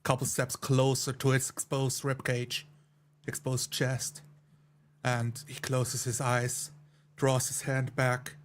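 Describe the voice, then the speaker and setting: A man playing a tabletop game, narrating his character's actions in a measured, deliberate, dramatic way. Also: mid-range voice, monotone, slight background noise.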